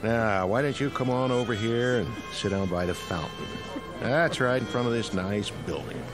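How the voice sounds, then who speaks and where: A man's voice: medium pitch, moderate pace, music in the background, lightly acted. An actor playing a friendly city police officer talking down a distressed man in a radio drama. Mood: coaxing. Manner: easygoing, coaxing, reassuring. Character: friendly city beat cop, middle-aged man.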